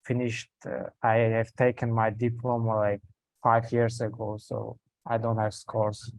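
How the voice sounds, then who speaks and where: A man's voice: lowish voice, monotone, a little slow, no echo, low-quality recording. Man from Tajikistan asking questions on a call. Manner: hesitant, explains at length with fillers. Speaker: prospective student asking questions. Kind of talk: online call Q&A.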